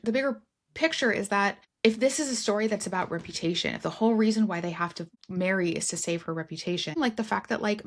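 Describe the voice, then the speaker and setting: A woman podcast host talks casually and frankly, with a mid-pitched voice. She peppers sentences with "like", swears lightly and acts out imagined lines in a joking way. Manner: animated quoting and role-playing of imagined dialogue, frank and joking; uses "like" frequently and swears casually. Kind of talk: casual book discussion.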